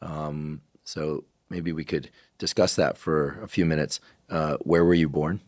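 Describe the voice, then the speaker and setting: A man hosting a podcast interview, asking questions in a calm, measured way. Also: very deep voice, no echo, clean studio sound. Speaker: podcast host.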